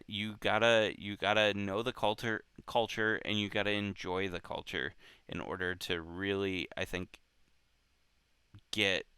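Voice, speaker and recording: A man hosting a book club show, talking casually and unscripted in a halting way, often repeating himself. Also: deep voice, pushed and bright, smooth, dry recording.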